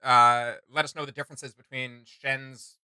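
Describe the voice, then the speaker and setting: A man's voice: mid-range voice, level tone, measured pace, pushed and bright, clean tone, no echo. A man hosting a reaction video, chatting casually about game lore in an emphatic, rambling, questioning way. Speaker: reaction channel host. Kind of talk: casual chat, discussing game character lore.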